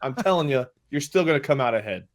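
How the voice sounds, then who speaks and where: Animated man making a boastful improv pitch. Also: fast talker. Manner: boastful salesman patter, laughing at his own jokes. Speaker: player pitching himself as a job applicant.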